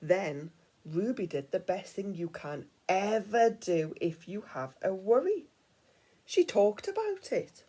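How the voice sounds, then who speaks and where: Female storyteller for young children, highly varied intonation, soft dark tone, dramatic delivery with hushed dips. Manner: dramatic storytelling, dropping to hushed breathy tones. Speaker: teacher reading aloud. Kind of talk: expressive children's picture-book reading.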